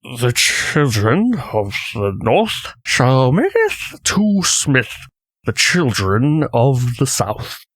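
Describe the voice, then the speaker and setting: Ghostly male knight, drawn-out declaiming delivery, lively pitch swings, grave and prophetic. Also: dry recording, full character voice. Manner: portentous, declaiming. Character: solemn undead knight chanting archaic prophecy. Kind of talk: comic dub acting.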